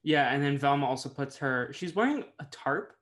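A man's voice: mid-range voice, lively intonation, moderate pace, fairly bright tone, slight background noise. A man co-hosting a podcast in casual chat, rambling with many fillers and light laughs. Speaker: podcast co-host.

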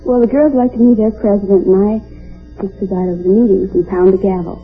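A woman's voice: mid-range voice, fairly even intonation, quick pace, husky. An American woman answering chattily and earnestly as a quiz show contestant. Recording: tinny old radio recording. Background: music and studio audience.

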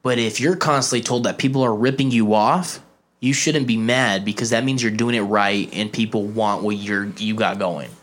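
A man's voice: on the low side, moderate pace, fairly bright tone. A young man with a nasal voice hosting a casual podcast, rambling and swearing freely, often trailing off and starting over. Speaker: podcast host.

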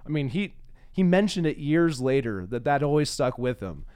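A man's voice: a little high, very animated, moderate pace, a little mellow, clean tone, clean studio sound. A man hosting a casual, chatty interview, often echoing back what the other person says. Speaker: interviewer, podcast host.

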